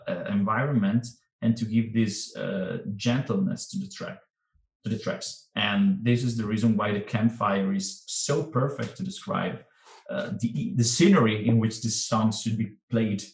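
A man's voice: on the low side, vocal fry. A man, a musician and non-native English speaker, chatting in an interview over a video call with many restarts and fillers. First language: not English. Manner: halting, many restarts and fillers, laughs easily. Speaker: guest musician. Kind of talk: casual interview chat. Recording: roomy echoing sound.

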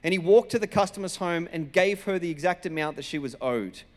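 Australian man, high voice, pastor preaching, colloquial storytelling, acted-out dialogue, echoing hall. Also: fast. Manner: conversational, colloquial, acts out dialogue. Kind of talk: sermon with personal storytelling. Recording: reverberant hall sound.

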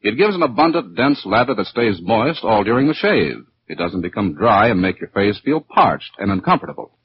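A man's voice: on the low side, sing-song, normal speed, highs cut off, background noise. A man reading a commercial in 1940s radio announcing style, on an old recording.

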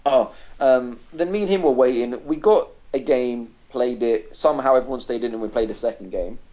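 A man's voice: mid-range voice, normal speed, mellow. A man co-hosting a casual gaming podcast, ranting animatedly and swearing freely. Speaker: podcast co-host. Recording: dull lo-fi podcast audio.

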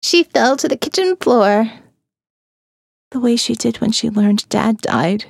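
A woman narrating a first-person horror audiobook, slow and hushed, often dropping to a whisper. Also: a little high, animated, vocal fry, no room sound, studio quality.

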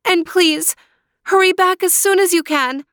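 A woman voicing a young girl trapped by a spell, pleading with her brother in a high, urgent voice. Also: a little slow, fairly bright tone, no room sound, studio quality.

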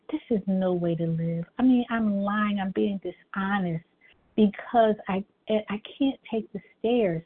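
A middle-aged woman with a high, girlish voice, telling her personal recovery story at a meeting in an earnest, halting way with many restarts. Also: breathy edge. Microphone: phone call. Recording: tinny phone line.

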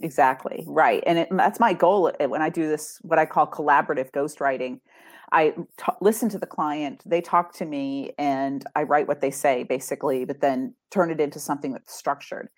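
A woman's voice: low voice, moderate pace, no room sound, studio quality. A middle-aged woman from Massachusetts, an author and ghostwriter, chatting in an upbeat way and laughing easily as an interview guest. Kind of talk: casual interview chat.

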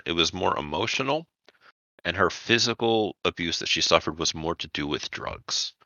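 A man working as game master, narrating a tabletop vampire roleplaying game in a measured, explanatory way. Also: extremely low pitch, creaky, sharp tone, dry recording, highs cut off.

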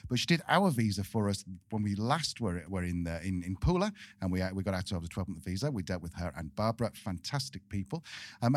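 A man's voice: fairly low pitch, dry recording, studio quality. A man hosting a podcast, chatting casually and joking at his own expense. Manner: chatty, self-deprecating, joking. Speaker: podcast host.

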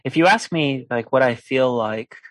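A man in his forties from Poland, a podcast guest telling a personal story in a lively conversational way and acting out the people he quotes. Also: mid-range voice, normal speed, no room sound. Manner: animated storyteller who acts out quoted voices.

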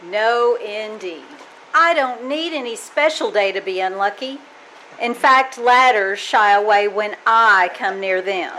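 A woman playing a wry, weary schoolteacher with a deadpan, sardonic delivery in an old-time radio comedy. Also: mid-range voice, unhurried, poor audio. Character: wry, weary female schoolteacher with dry wit. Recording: faint noise, live stage performance.

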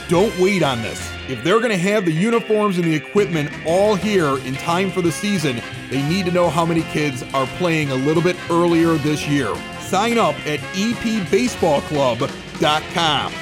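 A man hosting a local podcast with an animated radio-announcer delivery. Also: fairly high pitch. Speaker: podcast host. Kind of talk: scripted local news reads and casual bar chat. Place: at a bar.

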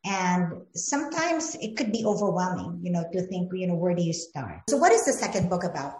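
A woman's voice: fairly low pitch, very animated, fairly quick, a little mellow. A woman hosting an interview, asking questions in an encouraging way with frequent affirming murmurs. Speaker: interview host. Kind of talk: interviewing a guest. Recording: echoey room.

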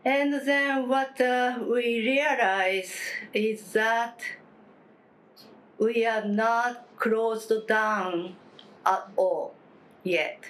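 A woman leading a guided meditation as a teacher, speaking calmly in short phrases with long pauses and gentle instruction. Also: fairly high pitch, low-quality recording. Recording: clear steady background noise, room ambience.

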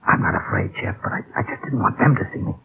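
An actor playing a brave, stoic young pilot who is badly hurt, weak and gravelly, in 1930s radio drama. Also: male voice, fast talker, lightly acted. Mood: fading, brave. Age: young adult. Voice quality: gravelly, creaky. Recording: dull, faintly noisy old radio recording.

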